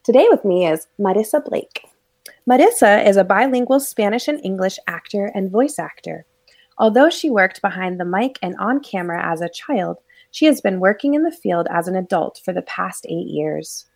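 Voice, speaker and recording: A middle-aged woman hosts a business podcast in a mid-pitched voice, reading a guest's introduction before easing into upbeat, friendly conversation. Her delivery is clear and ordinary in pitch. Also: animated, normal speed, darkish tone. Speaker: podcast host. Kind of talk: reading a guest introduction, then casual chat.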